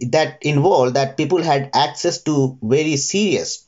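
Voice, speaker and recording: A man giving reflective interview answers as a guest, often halting and rephrasing himself. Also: a little high, darkish tone, muffled recording. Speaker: interview guest, retail trader.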